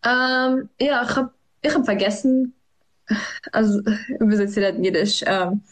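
A young woman, answering interview questions in a halting way, with many fillers and restarts. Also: fairly high pitch. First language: Yiddish. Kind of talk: hesitant conversational interview.

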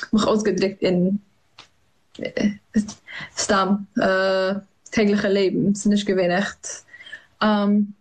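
A young woman, answering interview questions in a halting way, with many fillers and restarts. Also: medium pitch, low-quality recording. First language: Yiddish. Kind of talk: hesitant conversational interview.

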